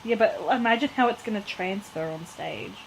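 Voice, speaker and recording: A woman's voice: mid-range voice, moderate pace, creaky. A woman co-hosting a podcast, chatting casually with dry, joking remarks. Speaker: podcast co-host. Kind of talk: casual chat. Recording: noisy room ambience.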